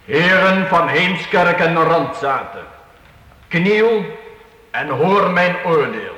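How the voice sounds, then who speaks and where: Stern old-time count in a radio drama, bright forceful voice, slow formal delivery, big echo. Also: male voice, a little high, animated, a little hiss or hum, exaggerated voice. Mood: stern, solemn. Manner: solemn, authoritative, formal. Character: stern, commanding medieval count passing judgment. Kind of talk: Dutch radio play acting. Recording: reverberant hall, old radio play.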